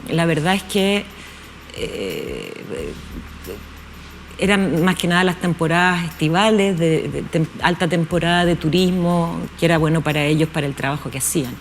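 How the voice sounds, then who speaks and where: Woman in her 50s from chile, talks slow and careful, lots of pauses, faint room hum behind. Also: fairly low pitch, expressive. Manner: thoughtful, hesitant, many pauses and restarts. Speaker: guest, actress. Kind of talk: relaxed interview conversation. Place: TV studio.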